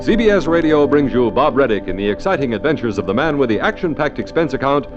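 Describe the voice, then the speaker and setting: A man's voice: medium pitch, very animated, quick pace, highs cut off. A man announcing a 1950s radio drama over music. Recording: old radio with music bed.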